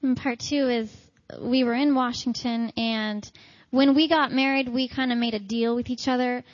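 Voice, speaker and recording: A woman answering interview questions about her husband in an earnest, lightly halting way. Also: fairly high pitch, steady tone, dry recording. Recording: dry, dull lo-fi sound.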